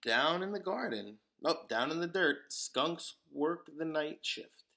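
A man reading a children's picture book aloud as a storyteller, with deliberate, dramatic emphasis. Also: a little high, expressive, gravelly, bright, forceful tone. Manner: deliberate, dramatic emphasis with occasional whispers and exclamations.

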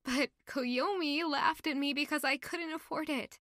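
A woman's voice: sits high, a little slow, fairly bright tone, no room sound, studio quality. A woman playing an earnest, modest young working woman who can be playfully bold, in an intimate audio drama. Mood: candid, puzzled. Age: young adult.

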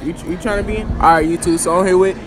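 A man's voice: high voice, steady tone, fast talker. A teenage boy hosting a casual interview at school, talking in loose slang into a phone he holds up. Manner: loud, slangy, laughing a lot. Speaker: host. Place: school hallways and gym, indoors. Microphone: phone held up to mouth. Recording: noisy with chatter of a crowd behind.

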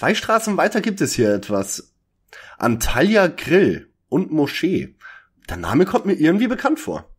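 A man's voice: fairly high pitch, wildly swooping pitch, a little slow, slightly rough, full character voice. A man playing a bookish young detective, the junior of a trio, in a parody radio play. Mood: earnest.

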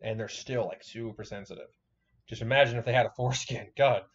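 A man reacts casually to a video, swearing freely and rambling in a blunt, joking way. His pitch is middling and the recording sounds slightly muffled. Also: steady tone, a little fast, breathy edge. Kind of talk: casual, profane reaction commentary.

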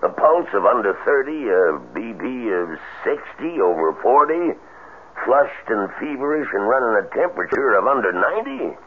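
An actor playing a gruff, practical older country doctor with an American accent and a blunt, folksy manner in a 1970s radio drama. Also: male voice, medium pitch, very animated, normal speed, close to an everyday voice. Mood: skeptical. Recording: tinny, faintly noisy old radio broadcast.

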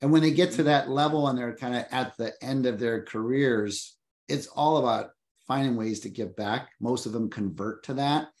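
Man in his fifties, earnest panelist, video call from an office, mid pitch. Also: normal speed, a little mellow. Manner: earnest, reflective, repeats words while thinking. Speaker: luxury real estate agent, panelist. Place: home office. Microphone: video call webcam.

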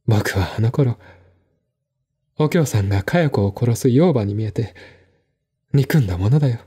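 A man acts out a melancholy gentleman in a radio drama. His voice is mid-pitched, dark and rather rough-edged. He confides earnestly, pleading like someone troubled. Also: expressive, moderate pace.

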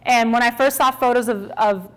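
A woman in her thirties from Minnesota, giving a lively, conversational stage talk to an audience. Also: fairly high pitch, normal speed. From Minnesota, USA. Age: 30s. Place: small stage with brick wall backdrop.

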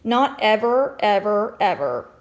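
A woman voicing a gentle, reassuring father in a storybook, speaking with soft emphasis. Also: mid-range voice, sing-song, takes it slowly.

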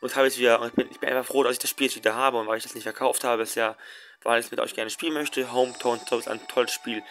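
A man's voice: medium pitch, steady tone, rapid-fire, a bit bright. A young man hosting a casual let's play, talking in a rambling way with many fillers and restarts.

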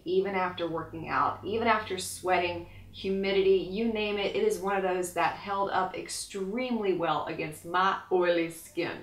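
A woman's voice: lowish voice, fairly bright tone, echoey room. A young woman doing an upbeat beauty product review to camera, with bubbly, emphatic delivery. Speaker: beauty vlogger. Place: small pink-walled room, indoors.

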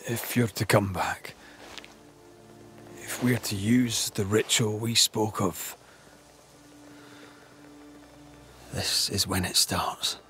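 A man playing a compassionate but firm ghost hunter in a video game drama, calm, coaxing and deliberate. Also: fairly low pitch, soft background music. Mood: resolute.

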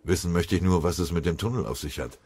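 A man's voice: deep voice, fast talker, darkish tone, breathy edge, dry recording. A man voicing a commanding, wary German army squad leader in an audiobook, sardonic and barking.

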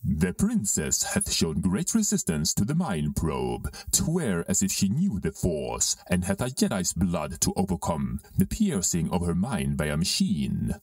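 Dark lord villain male actor: low, menacing, grandiose Shakespearean delivery, recorded a little far off. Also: huge pitch swings, a little slow, hardly any pauses, no room sound, clean studio sound, full character voice. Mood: stern, imperious. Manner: grandiose, declaiming archaic verse. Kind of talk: amateur Shakespearean dramatic reading.

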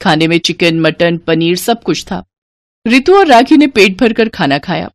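A woman's voice: on the low side, animated, a little slow, no room sound, clean studio sound. A woman storyteller reading scripted narration for a moral story.

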